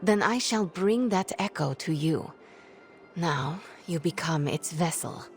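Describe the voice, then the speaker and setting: Stern, imperious young sorceress. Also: female voice, on the low side, animated, slow, faint music behind. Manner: formal, imperious, clipped. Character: stern, impatient young sorceress ritual mentor. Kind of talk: video game voice acting.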